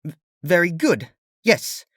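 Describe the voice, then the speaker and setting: A storyteller voicing a proud, flustered pony princess of the night with a formal, archaic, booming manner. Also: big pitch swings, takes it slowly, fairly bright tone, no room sound, studio quality, heavily acted. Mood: awkward, formal.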